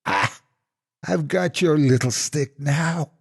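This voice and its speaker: A man voicing a menacing female monster in a horror folktale, taunting and threatening in a drawn-out delivery. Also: fairly high pitch, creaky, slightly rough, pitched above the performer's own voice, exaggerated voice. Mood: menacing, vengeful. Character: menacing female clawed monster posing as an auntie.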